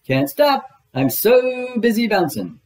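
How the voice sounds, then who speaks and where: A bouncy, boisterous tiger from a children's storybook, acted out by a man. The voice leaps far up in pitch and swings freely, exclaiming with excitable energy. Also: takes it slowly, full character voice. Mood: excited, boisterous. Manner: exclamatory calling out.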